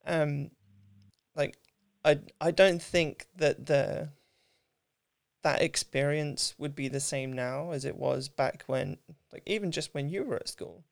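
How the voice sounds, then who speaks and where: Very creaky woman, casual podcast host. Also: low voice, slow pace, no echo, studio quality. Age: mid 30s. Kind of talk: casual conversational interview.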